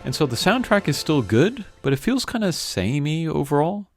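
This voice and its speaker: A man's voice: on the high side, big pitch swings, moderate pace. A man hosting a gaming channel, giving casual, rambling and hesitant playthrough commentary. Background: game music.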